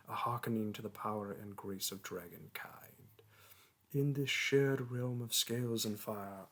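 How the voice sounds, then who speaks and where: A man's voice: on the low side, a bit bright, breathy edge, exaggerated voice. A man voicing a proud, ancient male dragon teacher who speaks in grand, archaic, formal phrases in a romantic audio roleplay. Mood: reverent, solemn.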